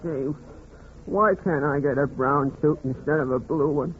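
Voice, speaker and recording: Man acting a high-voiced meek henpecked husband. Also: unhurried, natural voice. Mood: meek, wistful. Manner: hesitant, plaintive, submissive. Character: meek henpecked little husband, reluctant and wistful. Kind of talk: 1940s radio comedy acting. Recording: noisy, muffled 1940s radio recording.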